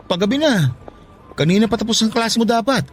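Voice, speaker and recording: Struggling Filipino father, radio drama; high-pitched, rapid, desperate and argumentative. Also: animated, faint music behind. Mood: evasive, irritated. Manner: emotional, argumentative. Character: poor, desperate, defensive father struggling to feed his family. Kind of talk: Tagalog radio drama acting.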